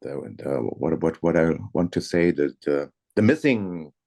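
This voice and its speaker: An older man, an audiobook narrator, chatting casually in an interview in a lively but hesitant way and often searching for words. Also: rumbling low, unhurried.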